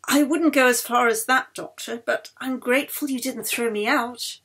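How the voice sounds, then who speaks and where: A woman voicing a modest, warm-hearted woman violinist in an audiobook, gracious and eager. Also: on the high side, normal speed, husky. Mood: grateful, pleased.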